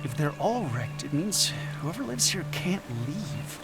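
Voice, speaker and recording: A man's voice: sits high, big pitch swings, a little slow, full character voice. An actor playing an earnest, emotional male academic on an expedition in an audio drama. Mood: concerned. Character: earnest, awestruck male academic anthropologist, anxious expedition member. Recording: loud music behind the voice.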